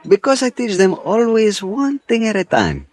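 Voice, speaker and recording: An actor playing a genial, sly Venezuelan police chief with a Spanish accent and a teasing, drawn-out delivery in an old-time radio drama. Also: male voice, high voice, wildly swooping pitch, no room sound. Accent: Spanish-accented English.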